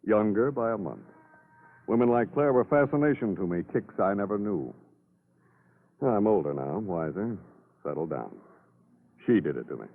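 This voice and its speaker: Jaded 1950s radio playboy, deep voice, swooping theatrical sarcasm, tinny vintage sound. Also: male voice, animated, normal speed, pauses often. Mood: wry, jaded. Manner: sardonic, slangy, theatrical. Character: glib, cynical playboy businessman, wisecracking and jaded. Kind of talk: 1950s radio crime drama acting. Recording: tinny old radio broadcast.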